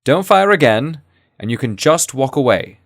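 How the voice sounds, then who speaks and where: A man's voice: medium pitch, expressive, moderate pace, vocal fry, pitched above the performer's own voice. A man voicing a war veteran hero in a story, talking firmly and coaxingly. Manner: firm, coaxing.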